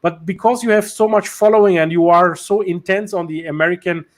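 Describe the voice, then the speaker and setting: A man's voice: high voice, steady tone, fairly quick. A male host whose first language is German or Turkish, speaking English in a casual interview over a video call, with many fillers and restarts.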